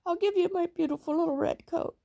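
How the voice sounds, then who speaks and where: Woman playing a high-voiced, polite little storybook boy. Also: a little fast, vocal fry, mellow. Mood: pleading. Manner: pleading, polite, higher-voiced. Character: small polite boy pleading and bargaining. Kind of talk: storybook read-aloud character voice.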